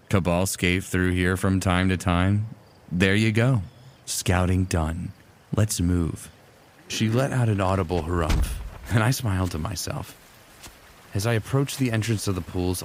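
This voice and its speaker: A man's voice: deep voice, a little hiss or hum. A man playing a smitten, teasing sci-fi warrior who narrates his own exploits in a fan audio drama, casual and wry. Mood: dry, teasing. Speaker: narrator and lead character.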